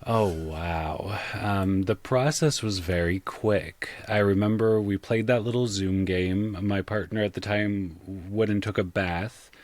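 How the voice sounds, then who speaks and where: Male interview guest, very low voice, dry sound. Casual storyteller with a wry, self-deprecating manner. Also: measured pace, few pauses, no room sound. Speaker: podcast guest, audio drama creator.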